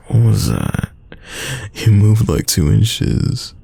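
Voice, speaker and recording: Guy playing smitten teasing friend, super gravelly and mellow, soft flirty delivery, close dry sound. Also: very animated, very slow, no echo, full character voice. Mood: playful teasing. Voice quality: very deep, gravelly voice. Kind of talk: romantic roleplay audio, intimate one-sided dialogue.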